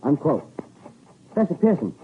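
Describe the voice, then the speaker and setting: An actor playing an earnest, excitable radio news commentator with a formal, urgent manner in a 1930s broadcast drama. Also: male voice, on the high side, moderate pace. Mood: formal, composed. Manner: formal, urgent, stammering with uhs. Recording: scratchy, tinny 1938 radio broadcast.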